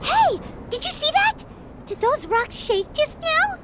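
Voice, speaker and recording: Tiny blunt fairy companion for an anime game: piercingly high, childlike, wildly swooping delivery. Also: female voice, sing-song, takes it slowly, heavy background noise, heavily acted. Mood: excited, curious. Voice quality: squeaky, childlike. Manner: speaks of herself in third person, exclamatory. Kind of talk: anime video game voice acting. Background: loud game music.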